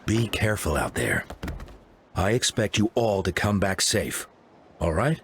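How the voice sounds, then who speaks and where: A man playing a calm, caring military commander in an anime-style video game, measured and authoritative. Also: lowish voice, noisy background. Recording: music behind the voice.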